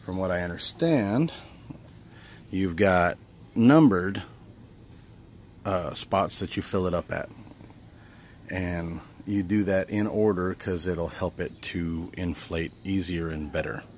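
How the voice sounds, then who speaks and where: Low-voiced man giving a casual outdoor review. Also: slow, long pauses, darkish tone. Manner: informal, thinking aloud. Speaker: amateur product reviewer. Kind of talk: casual hands-on unboxing and review talk. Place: outdoors on sandy ground at a campsite. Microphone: handheld camera held by the speaker. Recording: noisy outdoor handheld camera audio.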